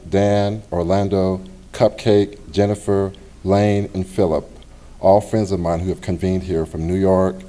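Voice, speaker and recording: Middle-aged man, low and warm-toned, reading his own essay at a library event; measured and expressive, with pauses. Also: slight background noise. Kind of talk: public reading of a personal essay.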